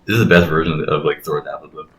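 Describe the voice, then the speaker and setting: A deep-voiced man chats casually through a video game, explaining things at a rapid clip and swearing freely. The room adds clear echo, and game music plays faintly underneath. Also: steady tone, slight background noise. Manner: explanatory, profane. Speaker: let's play host playing and explaining the game. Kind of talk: casual gameplay commentary.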